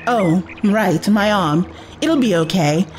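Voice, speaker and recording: Woman playing an earnest, animated young adventuring companion. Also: medium pitch, takes it slowly, a little hiss or hum, low-quality recording. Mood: gentle, earnest. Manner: earnest, coaxing. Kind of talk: audio drama acting.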